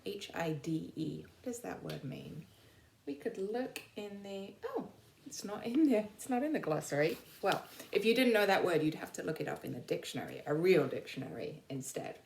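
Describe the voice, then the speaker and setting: A woman teacher talks children through a read-aloud lesson. Her pitch moves around in a lively way as she explains and asks simple questions. The room adds a noticeable echo to her voice. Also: moderate pace, vocal fry, slight background noise. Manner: explanatory, checks understanding with frequent questions. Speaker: teacher reading a picture book aloud to children. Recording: roomy, echoing sound.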